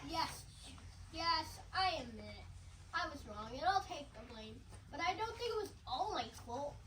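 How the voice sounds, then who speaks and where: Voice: high-pitched, sing-song, normal speed, pushed and bright, a little hiss or hum, heavily acted. A child playing Jack, a defensive boy on trial, in a school reader's theatre performance, recorded noisily from a distance. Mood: pleading. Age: child, third grader. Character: Jack, quick-footed boy on trial, defensive then contrite.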